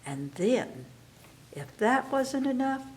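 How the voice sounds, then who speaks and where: A woman librarian reading a fairy tale aloud at storytime, gentle and at times dropping to a whisper. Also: high-pitched, sing-song, slow, slight background noise, rough recording. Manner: gentle, dips into soft whispers. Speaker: children's librarian.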